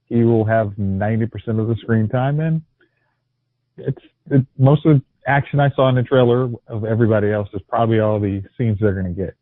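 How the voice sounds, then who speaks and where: A man's voice: lowish voice, fairly quick, no room sound. A man co-hosting a casual movie podcast, starting over often and joking wryly. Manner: stammering restarts, wry jokes. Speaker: podcast co-host. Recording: tinny phone-line audio.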